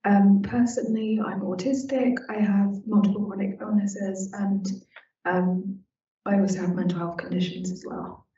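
A woman's voice: mid-range voice, little pitch movement, moderate pace, dark tone. A young adult woman on a panel, speaking candidly and personally. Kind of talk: panel discussion. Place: university seminar room. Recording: echoey room from a distance, dull.

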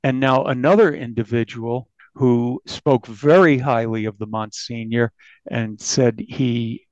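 A male journalist talking through a crime story in a podcast interview, halting and repeating words for emphasis. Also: medium pitch, fairly even intonation, a little mellow, no echo, muffled recording.

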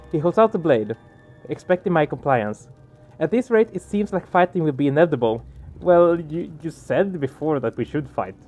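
Higher-pitched man doing game commentary. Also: a little mellow. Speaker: let's play streamer. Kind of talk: casual gaming commentary, reading game text aloud. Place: small room indoors. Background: game music.

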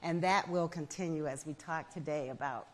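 A woman in her fifties, a foundation head, speaking in a measured, earnest way as a guest on an indoor festival stage with a lapel mic. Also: low-pitched. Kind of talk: onstage festival conversation.

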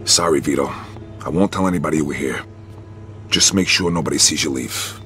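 A man's voice: a bass voice, brisk, pushed and bright, faint music behind. An actor playing a hard-nosed American mob hitman in a video game, blunt, firm and businesslike. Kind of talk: video game cutscene acting.

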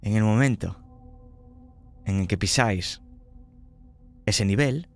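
A man's voice: on the low side, slow, soft background music. A man narrating a tabletop horror game as game master, speaking conversationally and checking in with his players.

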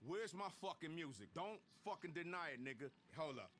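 An actor playing a commanding middle-aged American music mogul in a video game, blunt and profane. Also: male voice, on the high side, animated, fairly quick, a bit bright, slight background noise. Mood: angry, commanding. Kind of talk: video game cutscene acting.

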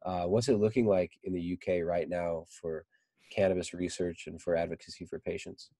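A man in his thirties with a very low, deep voice talks loosely on a video call, wandering through his points with plenty of "like" and "you know". The sound is dry and close with no room in it. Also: steady tone, moderate pace, warm tone, no room sound. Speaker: podcast guest. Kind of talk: casual conversation. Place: indoor room. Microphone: video call, desk mic.

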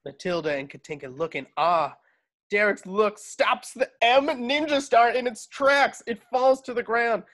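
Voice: medium pitch, a little slow, a bit bright. A narrator reading screenplay stage directions for a table read over a video call.

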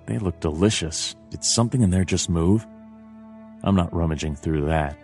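An actor playing a weary, deadpan detective in a noir adventure game, muttering dryly to himself. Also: male voice, low voice, normal speed, faint music behind. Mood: dry, unimpressed.

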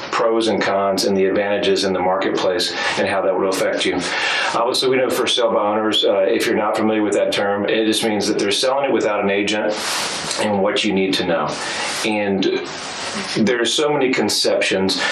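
A man in his thirties with a slightly hoarse, froggy voice, hosting a live radio talk show in a conversational, upbeat sales-style way. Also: on the low side. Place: small radio studio, indoors. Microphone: studio mic on boom, wearing headphones. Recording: roomy, distant studio sound with noise.

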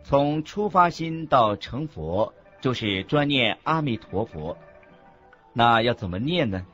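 A man playing a Buddhist monk teacher in a scripted radio lecture, husky, expressive and didactic. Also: medium pitch, takes it slowly. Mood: earnest teaching. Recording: dull lo-fi recording with faint music.

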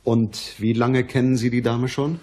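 An actor playing a matter-of-fact, weary private detective in a radio crime drama, with a dry, measured manner. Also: male voice, medium pitch, little pitch movement, warm tone, slight background noise, natural voice. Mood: measured, businesslike.

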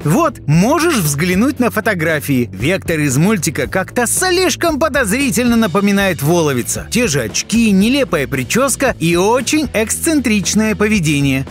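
A man reading scripted narration for an entertainment video, chatty and teasing, addressing the listener directly. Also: high voice.

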